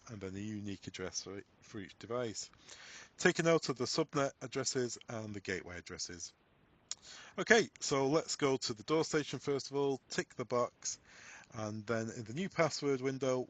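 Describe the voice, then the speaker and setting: A man presenting a software tutorial, giving step-by-step instructions in a voice-over. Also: on the low side. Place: voice-over on a screen recording.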